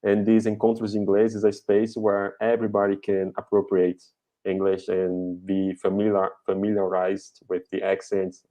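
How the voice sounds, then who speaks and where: Dark, flat Brazilian-accented man. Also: on the low side, low-quality recording. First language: Portuguese. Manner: slow, searching for words. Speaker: host of English conversation meetings. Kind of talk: live online conversation. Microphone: video call.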